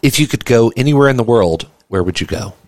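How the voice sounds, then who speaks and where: A man's voice: medium pitch, animated, quick pace, a little mellow, no room sound. A man reading a list of set questions as an interviewer. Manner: evenly reads set questions.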